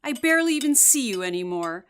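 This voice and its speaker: Woman playing a nagging housewife, high and animated. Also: fast talker, noisy background. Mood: nagging, plaintive. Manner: plaintive, chiding. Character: nagging, lonely housewife who dotes on her dog. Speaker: actress in an audio drama. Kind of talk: radio play acting.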